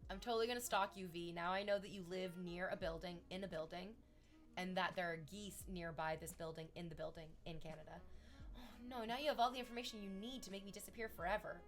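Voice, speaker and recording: A woman's voice: on the low side, fast talker. A woman chatting casually on a livestream, rambling to her viewers and cooing at her dog in baby talk.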